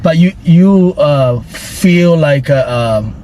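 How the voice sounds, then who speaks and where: Halting man interviewing from a car. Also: a little high, expressive. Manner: halting non-native English, many fillers. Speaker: driver and interviewer. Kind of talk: casual interview. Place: inside a car on city streets. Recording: loud road noise from a car. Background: car and traffic noise.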